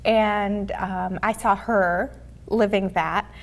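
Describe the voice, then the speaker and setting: A Mexican-born woman with an American accent and Spanish as her first language, telling her story in a halting, reflective way as an interview guest. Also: mid-range voice, fairly even intonation. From born in Mexico City, raised in the United States. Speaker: interview guest, TV show host.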